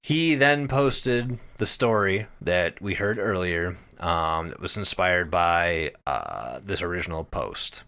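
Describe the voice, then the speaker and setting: A man's voice: deep voice, animated, takes it slowly, few pauses, pushed and bright. A man co-hosting a podcast, telling stories casually in an emphatic way with plenty of swearing. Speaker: podcast co-host.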